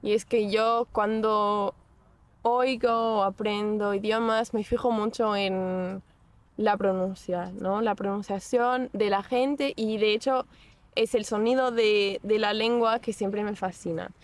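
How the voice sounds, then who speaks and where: A young woman chats in an unhurried, halting way, often stopping to restart a thought. Her voice sits at an ordinary middle pitch. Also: steady tone, dry recording. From Portugal. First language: Portuguese. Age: young adult. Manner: hesitant, many restarts and fillers. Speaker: guest. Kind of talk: casual interview.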